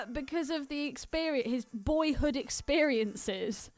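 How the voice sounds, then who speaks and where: A woman's voice: high-pitched, lively intonation, takes it slowly, a little mellow, dry recording. A woman co-hosting a podcast in casual, joking film chat, irreverent and teasing, happy to swear, with soundtrack music behind her. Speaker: podcast co-host. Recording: music playing behind the talk.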